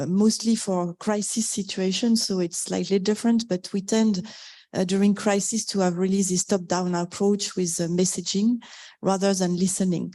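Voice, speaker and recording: A woman taking part in an impromptu roundtable discussion, agreeable and halting as she works toward her point. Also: mid-range voice, warm tone. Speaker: crisis-response professional, roundtable participant.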